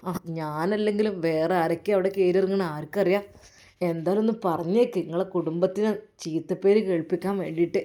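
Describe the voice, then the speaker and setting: Brief: woman doing a sly young husband, low hushed coaxing voice. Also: fairly quick, natural voice. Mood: aggrieved. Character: scheming young husband, smooth-talking.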